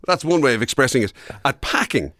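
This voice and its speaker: A man's voice: mid-range voice, sing-song, a little fast, bright, forceful tone, dry recording, studio quality. An Irish man co-hosting in casual comic banter, teasing and mock-indignant in his delivery. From Ireland. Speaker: comedy podcast and radio co-host.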